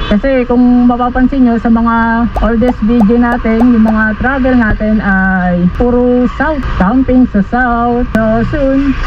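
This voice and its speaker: A man's voice: extremely high pitch, distant mic. Male Filipino motovlogger, informal review chat with English phrases, lo-fi audio, noisy ride. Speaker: motovlogger and motorcycle rider. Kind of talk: casual vlog chat mixed with product review. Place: outdoors on a moving motorcycle. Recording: muffled helmet intercom audio, loud road noise.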